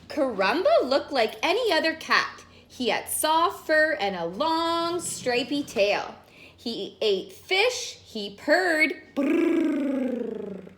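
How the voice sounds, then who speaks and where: Female preschool-style storyteller, playful, very animated high pitch, slow delivery. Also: a little hiss or hum. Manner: playful, simple explanations and questions to children. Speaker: children's teacher and story reader. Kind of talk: storybook read-aloud for young children.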